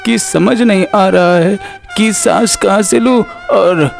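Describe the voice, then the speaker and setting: A man's voice: high-pitched, slow pace, gravelly, faint music behind, heavily acted. A man voicing an aggrieved, sarcastic neighbour who complains comically in a cartoon story. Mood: aggrieved sarcasm.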